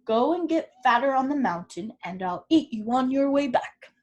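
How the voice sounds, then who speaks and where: A woman voicing a terrible, hungry male troll who growls his threats slowly and loudly. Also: high voice, expressive. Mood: menacing growl. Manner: growling, roaring, slow and loud. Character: terrible ugly one-eyed male troll, hungry and bullying.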